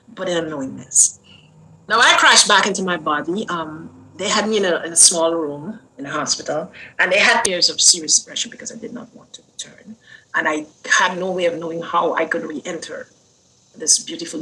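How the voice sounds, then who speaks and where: An older woman from Guyana, an interview guest telling a personal story in an earnest, unhurried way that lingers on words. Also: sits low, bright, forceful tone, a little hiss or hum, poor audio.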